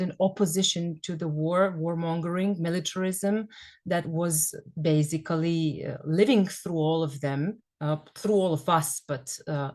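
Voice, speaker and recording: Hesitant woman, lowish voice. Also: a little mellow. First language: Serbian. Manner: thoughtful, hesitant, many fillers. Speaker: guest speaker. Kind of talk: academic panel discussion.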